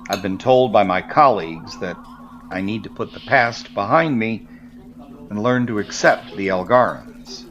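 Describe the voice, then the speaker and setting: A man's voice: on the low side, fairly bright tone, faint music behind. A man playing a wary, formal alien magistrate in a sci-fi audio drama, on dull-sounding audio. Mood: guarded, troubled. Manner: formal, measured wording. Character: wary male alien magistrate, wounded but softening.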